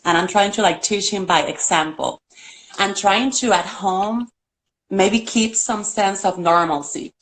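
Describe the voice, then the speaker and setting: A woman's voice: mid-range voice, measured pace, slight background noise, rough recording. Middle-aged Puerto Rican woman, earnest caller, talks at length over a video call link. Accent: Puerto Rican Spanish. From Puerto Rico. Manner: earnest, chatty, laughs often. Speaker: caller on a live stream. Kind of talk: casual video call chat.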